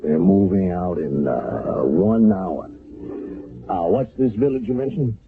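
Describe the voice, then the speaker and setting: Hesitant army captain, gravelly low voice, lo-fi radio sound. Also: male voice, unhurried, full character voice. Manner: hesitant, with many uh fillers. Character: gruff army engineer captain, brisk. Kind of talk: 1970s radio drama acting. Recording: lo-fi old radio broadcast.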